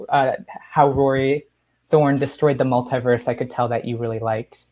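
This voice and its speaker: A man's voice: mid-range voice, steady tone, moderate pace, a little mellow. A young man co-hosting a casual interview show over a video call, effusive and peppering his talk with "like". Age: young adult. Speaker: podcast co-host. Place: indoor room at home, video call. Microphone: video call, headphones worn.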